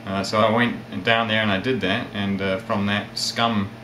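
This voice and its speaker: Male interview guest, mixed South African-English-Australian accent, reflective and halting, recorded in a noisy, roomy space. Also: fairly low pitch. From South Africa, with years in England and Australia. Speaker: interview guest, ukulele player and magazine editor. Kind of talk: casual interview chat. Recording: roomy echo, steady background noise.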